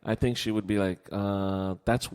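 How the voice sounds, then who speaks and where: A middle-aged man chatting casually and candidly as a podcast co-host, phrasing his thoughts in a reflective, halting way. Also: fairly low pitch, fairly even intonation, a little mellow, clean tone. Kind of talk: casual conversational podcast chat. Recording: echoey hall-like reverb.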